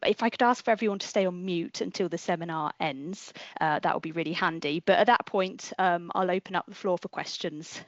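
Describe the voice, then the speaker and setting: A woman's voice: mid-range voice, dry recording. A woman hosting an online seminar, making announcements and introducing the speaker in a casual, um-filled way. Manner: um-filled, informal, friendly announcements. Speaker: seminar host. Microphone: computer mic on a video call. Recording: dry, muffled video-call audio.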